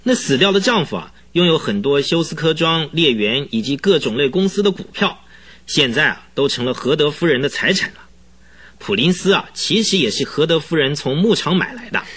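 Radio drama casting: easily spooked, chattering horse trainer, bright husky voice, dramatic storyteller. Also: male voice, a little high, animated, fairly quick. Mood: hesitant. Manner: rambling, dramatic storytelling full of interjections. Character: excitable, rambling, easily frightened male horse trainer witness.

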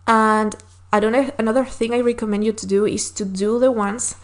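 A woman's voice: a little high, fairly even intonation, brisk. A woman whose first language is Spanish, hosting a solo podcast and giving earnest, encouraging advice with frequent fillers and restarts. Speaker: podcast host.

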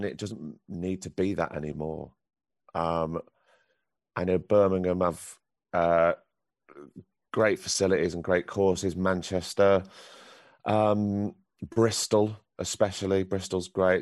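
A man with a very deep voice, a professional actor answering a youth theatre group's questions with candid, encouraging advice. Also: no echo, clean studio sound. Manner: candid, encouraging advice with frequent ums and restarts.

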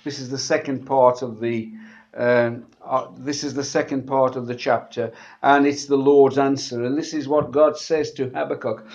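A man preaching in church, his voice slightly gravelly and husky from a sore throat, speaking in a conversational sermon style. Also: medium pitch, steady tone, a little mellow. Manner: halting, conversational, often repeating words. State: sore throat after a medical procedure. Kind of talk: church sermon with scripture reading. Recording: dull, muffled church recording.

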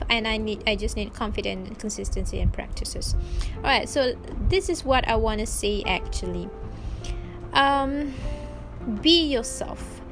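A woman whose first language is Malay, speaking English slowly in a casual reflection with frequent pauses and restarts. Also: on the high side. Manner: slow, searching, many restarts. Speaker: vlogger practicing English.

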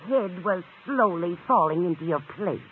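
A woman's voice: fairly low pitch, expressive, normal speed, lightly acted. A dotty older landlady played by an actress from a radio sitcom of long ago. She is sweet and scatterbrained, her voice swooping about in fluttery arcs. The sound is thin with light hiss. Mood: sweetly apologetic. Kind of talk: old-time radio situation comedy acting. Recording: narrow, hissy old radio broadcast.

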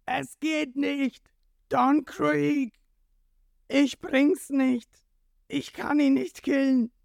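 A narrator voicing a soft-hearted, loyal male pirate henchman who pleads and wails in a storybook retelling. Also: medium pitch, lively intonation, takes it slowly, dry recording, clean studio sound, pitched above the performer's own voice. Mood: wailing. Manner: pleading, wailing.

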